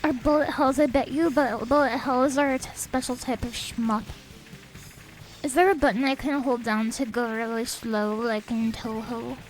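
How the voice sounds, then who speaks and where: Young woman, high voice, sniffly, soft-spoken, casual game-stream chat, faint music behind. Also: steady tone, moderate pace, rough recording. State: sniffly, a bit stuffed up.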